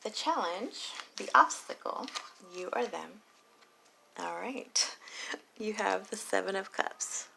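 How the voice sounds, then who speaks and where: A woman reading tarot late at night, talking softly and low and slipping into whispers. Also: expressive, slow pace, gravelly, a little hiss or hum, low-quality recording. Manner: soft and low, drifting into whispers. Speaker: tarot reader.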